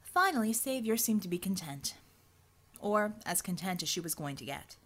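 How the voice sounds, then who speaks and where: A woman's voice: medium pitch, big pitch swings, normal speed, a bit bright. Woman narrating dramatic fiction. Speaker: audiobook narrator.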